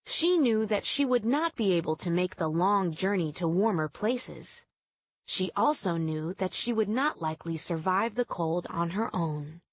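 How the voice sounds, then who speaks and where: A woman storyteller reading a scripted children's story aloud in deliberate, measured phrases. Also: lowish voice, lively intonation.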